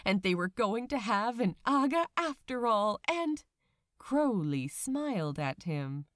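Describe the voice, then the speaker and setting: High-voiced woman reading a story aloud, really expressive, super dry close sound. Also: no room sound. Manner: dramatic, deliberate phrasing. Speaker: audiobook narrator reading fan fiction. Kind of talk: expressive scripted story narration.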